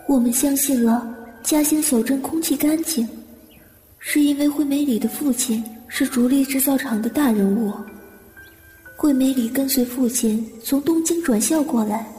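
A woman playing an earnest, anxious young woman in an audio drama, with soft, reflective delivery. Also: high-pitched, long reverb tail, lightly acted. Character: determined, anxious young woman recounting her childhood trauma.

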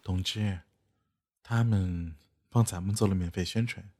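A man's voice: sits low, steady tone, measured pace, dark tone, slight background noise. A man playing an easygoing, teasing fellow at a magical inn in an audio drama. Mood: amused. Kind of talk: Chinese audio drama acting.

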